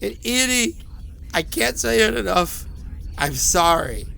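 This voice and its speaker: A man's voice: high-pitched, animated, slow, vocal fry, bright, forceful tone, slight background noise, heavily acted. An actor playing a sick, rattled, apologetic man who rambles hesitantly through phone messages in a horror audio drama. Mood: bitter, apologetic.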